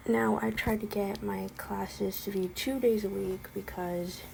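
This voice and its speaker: A woman's voice: mid-range voice, fairly even intonation, unhurried, vocal fry, a little mellow, a little hiss or hum, rough recording. A young woman with a slight lisp ranting casually, rambling with many ums and sounding exasperated. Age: young adult. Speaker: vlogger. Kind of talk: casual spoken rant.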